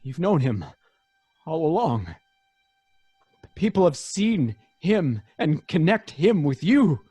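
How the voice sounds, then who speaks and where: Husky, worried loyal friend. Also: male voice, on the high side, very animated, takes it slowly, warm tone, no echo, highs cut off, soft background music, exaggerated voice. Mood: anxious warning. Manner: earnest, urgent, dramatic. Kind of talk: old-time radio drama acting.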